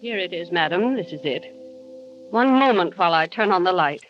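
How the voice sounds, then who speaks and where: An actress playing an obsessive, possessive housekeeper in a vintage radio drama, coaxing and insistent. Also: female voice, on the low side, expressive, moderate pace, music in the background, natural voice. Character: obsessive, possessive middle-aged housekeeper woman, coldly devoted. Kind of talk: 1940s radio acting. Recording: dull vintage radio recording.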